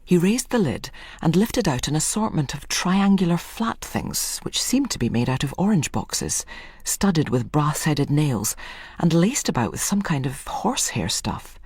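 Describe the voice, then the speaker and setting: Low, husky woman reading a story. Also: big pitch swings, measured pace, darkish tone, dry recording, studio quality. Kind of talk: literary story narration.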